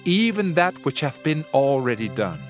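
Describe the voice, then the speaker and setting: A man plays a weary old-world king turned preacher, reciting scripture in a solemn, measured, oratorical way. His voice sits at a medium pitch. Music plays clearly behind him throughout the dramatized audio reading. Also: sing-song, close to an everyday voice. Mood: reflective, rueful. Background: background music.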